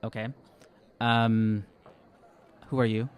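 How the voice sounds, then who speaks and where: A man's voice: on the low side, little pitch movement, slow pace, clear, resonant tone, muffled recording. A young man playing a tabletop game in a casual, hesitant, understated way on a podcast microphone. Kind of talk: casual in-character role-play. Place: small indoor studio room. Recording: faint background room noise.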